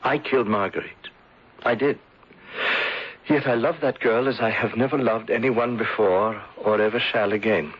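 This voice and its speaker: An actor playing an anguished former insurance agent in a radio drama, with a husky voice and a halting, earnest, melodramatic delivery. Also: male voice, fairly low pitch, fairly even intonation, a little hiss or hum, lightly acted. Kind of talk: 1970s radio drama acting. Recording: dull lo-fi old radio broadcast.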